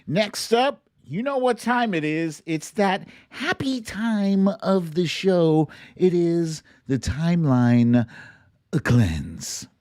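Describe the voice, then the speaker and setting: Playful male show host, animated pitch, unhurried. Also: no echo, studio quality. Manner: playful, jokey, theatrical. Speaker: host of a live online show. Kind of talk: casual livestream chat, reacting to clips.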